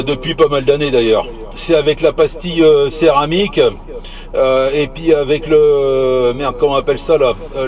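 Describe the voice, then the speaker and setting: Hesitant man, fairly high voice, on CB radio. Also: darkish tone. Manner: hesitant, searching for words, repeats himself. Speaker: CB radio hobbyist. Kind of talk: casual radio chat.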